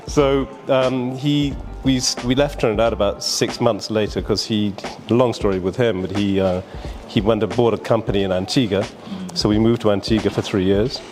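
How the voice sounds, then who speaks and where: A man's voice: fairly low pitch, steady tone, moderate pace, music in the background. Rambling older man telling stories. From Barbados. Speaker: guest, businessman. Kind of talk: casual interview storytelling.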